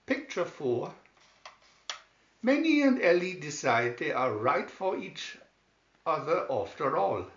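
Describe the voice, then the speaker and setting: A man reading a children's picture-story booklet aloud in scripted narration, deliberately and with pauses. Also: a little high, sing-song, fairly bright tone. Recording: dull lo-fi, roomy echo.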